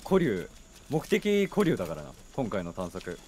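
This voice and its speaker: A man's voice: on the low side, huge pitch swings, a little slow, darkish tone, slight background noise. A man streaming a game with casual chat, giving short, blunt, slangy reactions.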